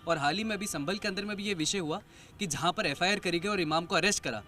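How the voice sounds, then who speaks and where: A man's voice: sits high, fast, pushed and bright. A man giving his opinion spontaneously in an interview.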